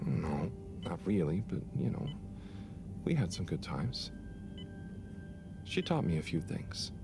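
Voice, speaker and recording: Brief: shy young video game helper, anxious and rambling, game score behind. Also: male voice, sits low, big pitch swings, slow pace, exaggerated voice. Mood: gentle. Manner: nervous, apologetic, rambling. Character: timid, earnest young man, anxious helper. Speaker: lab helper. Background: game music.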